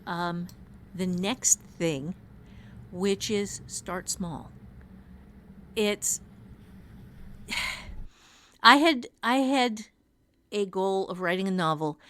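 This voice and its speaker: A woman's voice: on the low side, fairly bright tone, slight background noise. A woman author and writing teacher chatting on a podcast, candid and self-deprecating with a mild curse now and then. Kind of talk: casual podcast chat.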